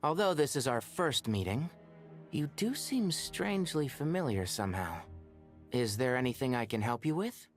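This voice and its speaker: A woman voicing a composed, authoritative young official in a video game, measured, stern yet patient. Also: extremely low pitch, very animated. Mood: calm, puzzled. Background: background music.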